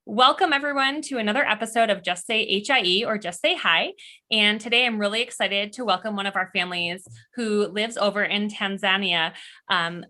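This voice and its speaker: A woman's voice: mid-range voice, sharp tone. A woman hosting a podcast, reading a scripted intro and then asking an interview guest questions with a few ums. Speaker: podcast host.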